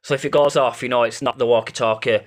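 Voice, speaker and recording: A man's voice: medium pitch, fairly even intonation, fast talker. A man giving an informal explainer as an amateur paranormal investigator, rambling and hesitant, repeating himself and swearing casually.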